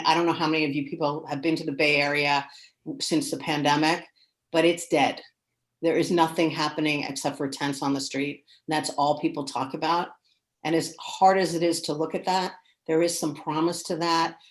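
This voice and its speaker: A woman speaking earnestly and frankly as a panelist in an online discussion. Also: low-pitched. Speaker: panelist with planning background. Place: remote video call. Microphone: video call.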